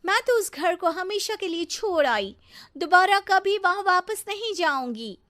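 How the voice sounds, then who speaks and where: A woman's voice: very high voice, expressive, a little slow, sharp tone, full character voice. A woman playing a spoiled, haughty young model in a dramatic story dialogue, peppering her speech with English. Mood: petulant. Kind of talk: animated moral story dialogue.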